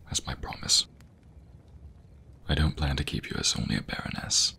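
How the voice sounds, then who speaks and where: A man playing a devoted king in a romantic fantasy ASMR roleplay, speaking tenderly in a breathy, gravelly murmur with formal, courtly phrasing. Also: a bass voice, sharp tone, heavily acted. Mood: earnest, confiding. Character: devoted young king secretly in love with a commoner.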